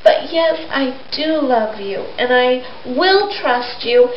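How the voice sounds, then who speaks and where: A timid, devoted young-hearted follower acted out by a woman storyteller, pleading and earnest in a high, slow voice. The sound is echoey. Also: lively intonation. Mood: tearful, submitting. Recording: noisy, echoey, dull amateur recording.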